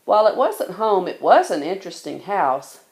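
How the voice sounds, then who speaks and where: A woman narrating a children's storybook in an expressive read-aloud style. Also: fairly low pitch, normal speed, reverberant. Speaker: bedtime story reader.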